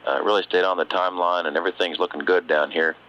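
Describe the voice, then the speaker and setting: A man's voice: low voice, steady tone, fast talker. A man working as a mission control communicator, talking to a crew over a radio link. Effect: radio transmission. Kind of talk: air-to-ground radio exchange. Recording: dull radio link with faint hiss.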